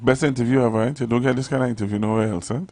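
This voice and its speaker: A man with a Trinidadian accent hosting a casual live radio interview, teasing and animated in dialect. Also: medium pitch, racing, dry recording. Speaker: radio show host.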